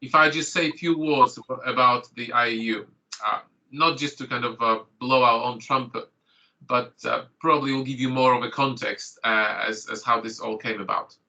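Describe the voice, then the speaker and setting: Bright-voiced Polish-born man opening a training day. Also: medium pitch, fairly even intonation, normal speed, rough recording. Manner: chatty, filler-heavy, self-correcting. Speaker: GP and course trainer. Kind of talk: online training introduction.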